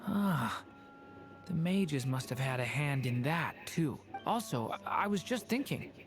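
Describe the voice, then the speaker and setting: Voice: mid-range voice, very animated, measured pace, over music. An actor voicing a pensive, polite young dragon boy in a video game, hesitant and thoughtful.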